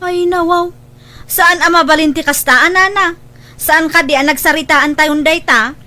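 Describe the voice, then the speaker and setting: A woman's voice: high voice, fairly even intonation, moderate pace, pushed and bright. A woman with an Ilocano accent acting as a pushy, defensive online job recruiter who argues and persuades in an audio drama. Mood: coaxing.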